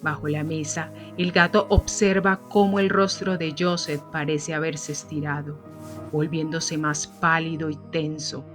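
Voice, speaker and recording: A woman narrating a scripted gothic mystery story in a measured, solemn manner. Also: low-pitched, level tone, fairly bright tone, soft background music.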